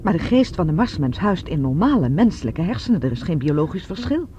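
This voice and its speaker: A woman's voice: fairly low pitch, big pitch swings, brisk, mellow. A woman playing a sceptical young fugitive in a Dutch science fiction radio drama, full of doubts and questions. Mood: doubtful, questioning. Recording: dull lo-fi radio recording with hiss.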